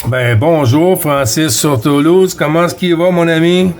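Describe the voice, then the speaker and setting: A man's voice: fairly high pitch, steady tone, unhurried, a little mellow. A man with a Quebec French accent chatting casually as a CB radio operator, hesitant and repeating radio codes. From Quebec, Canada. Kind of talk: casual radio contact chat.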